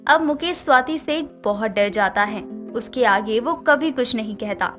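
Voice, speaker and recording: A woman's voice: fairly high pitch, expressive, a little slow, a bit bright, faint music behind. A woman narrator reading a scripted moral bedtime story.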